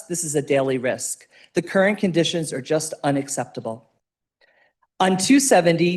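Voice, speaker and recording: A woman's voice: low-pitched, a little mellow. A woman giving a prepared, formal public comment in a meeting room. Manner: formal, reading a prepared statement.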